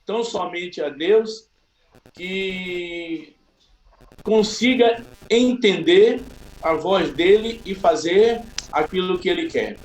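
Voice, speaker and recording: A man from Salvador, a pastor giving a slow, humble personal testimony. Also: sits high, reverberant, poor audio. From Salvador, Bahia, Brazil.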